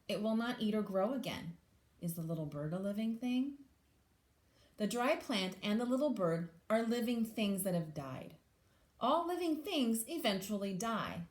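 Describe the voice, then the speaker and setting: An echoey room carries the voice of a woman with a middle-pitched tone. She reads to young children as a teacher does, slipping between the book's sentences and her own plain explanations, often putting questions to her listeners. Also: darkish tone. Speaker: English language teacher reading aloud to children. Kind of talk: children's picture book read-aloud with informal explanations.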